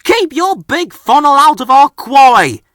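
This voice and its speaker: A man's voice: very high-pitched, sing-song, a little slow, fairly bright tone, no room sound, clean studio sound, voice pushed up, heavily acted. A man voicing cheeky, mischievous young twin engines in a children's storybook, with a rude, whining manner.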